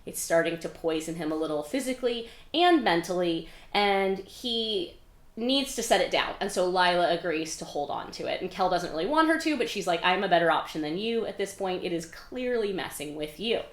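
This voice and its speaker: A woman hosting a readalong video, recapping a story in a casual, chatty way with animated emphasis and quieter asides. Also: on the low side, normal speed. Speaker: host of a book readalong video, author. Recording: roomy, echoey indoor sound.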